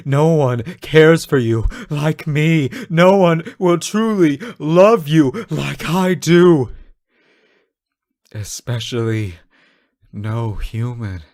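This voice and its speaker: A man playing an obsessive, devoted fallen angel in an intimate, theatrical audio roleplay, halting and swinging between hushed murmurs and impassioned outbursts. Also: medium pitch, lively intonation. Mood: possessive, pleading. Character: obsessive yandere fallen angel, male, devoted, unhinged lover.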